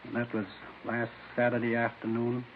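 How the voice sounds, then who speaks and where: A man's voice: medium pitch, monotone, lightly acted. Man acting an uneasy informant, hesitant, tinny sound. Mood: uneasy, earnest. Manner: hesitant, halting, earnest. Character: uneasy, down-on-his-luck man informing police of murder plot. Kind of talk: 1950s radio drama acting. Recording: hissy, tinny old radio recording.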